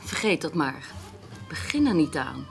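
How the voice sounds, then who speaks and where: A self-assured woman in a radio play, her voice slightly low. She is direct and teasing, answering in short, frank phrases. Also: very animated, a little slow, vocal fry, soft background music, heavily acted. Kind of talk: naturalistic radio drama dialogue.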